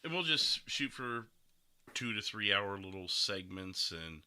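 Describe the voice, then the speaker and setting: A man with a very bright, forceful voice hosts a relaxed gaming stream discussion. His pitch moves around a lot and he keeps a joking, laugh-prone tone as he talks. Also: measured pace, clean tone. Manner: jokey, laughs often. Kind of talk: casual podcast chat.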